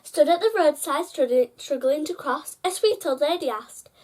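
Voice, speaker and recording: Female storyteller with a high-pitched voice for children's bedtime picture books, engaging young listeners directly. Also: moderate pace, darkish tone. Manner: addresses child listeners with prompting questions. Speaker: host reading children's picture books aloud.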